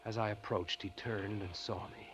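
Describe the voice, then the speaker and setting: An actor playing a haunted, weary deep-sea diver in a 1940s radio drama, speaking gravely and broodingly. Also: male voice, fairly even intonation, moderate pace, noisy background, close to an everyday voice. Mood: grim, brooding. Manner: grave, brooding narration and terse replies. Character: haunted, weary male deep-sea diver telling his dark tale.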